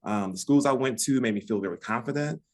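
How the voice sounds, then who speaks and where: A man's voice: mid-range voice, quick pace. A man with an American accent, a guest on a relationship podcast, talking casually with frequent restarts and fillers. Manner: rambling with many restarts and fillers. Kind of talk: casual conversation.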